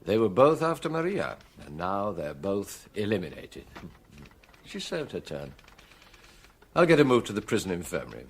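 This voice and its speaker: An actor playing a calm, shrewd middle-aged police chief with a British accent and a measured, dry, authoritative manner in a radio drama. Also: male voice, lowish voice, slight background noise. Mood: measured, probing.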